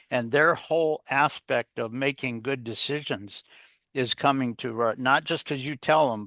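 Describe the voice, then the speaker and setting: A man's voice: mid-range voice, bright, forceful tone, no room sound, clean studio sound. A man speaking as an interview guest and coach, explaining his views in an emphatic, rambling, folksy way with frequent repetition. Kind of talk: conversational explanation of leadership advice.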